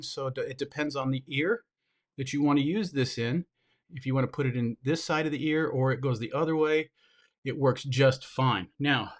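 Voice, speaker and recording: Upbeat man demonstrating gear on camera. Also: fairly high pitch. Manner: upbeat, enthusiastic, addresses viewers directly; talks while handling gear, brief pauses and asides. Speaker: product reviewer. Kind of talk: hands-on product demo.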